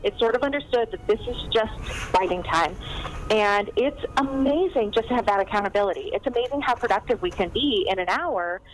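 A woman's voice: medium pitch, animated, brisk, a little hiss or hum, rough recording. A woman novelist chatting casually in an interview, rambling through stories with lots of fillers and asides. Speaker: novelist guest.

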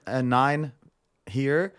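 A man's voice: medium pitch, extremely slow, smooth. A young man raised in Montreal, a podcast guest telling stories in casual chat, blunt and profane, acting out the dialogue. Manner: animated, acts out quoted dialogue.

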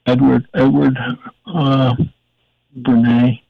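An older man with a gravelly voice, a guest in an interview, talking in a rambling, emphatic way while searching for words. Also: mid-range voice, little pitch movement, slow, no echo. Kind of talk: casual interview talk. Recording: dull, dry audio.

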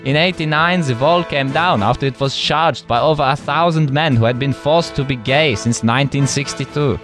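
A man playing a retired male official, recounting his old job in a deadpan, matter-of-fact way over music. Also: mid-range voice, moderate pace, close to an everyday voice.

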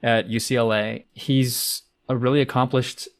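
A man's voice: mid-range voice, little pitch movement, a little slow. A man hosting a podcast, welcoming a guest in a friendly way and asking short questions. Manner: friendly, brief affirmations. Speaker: podcast host.

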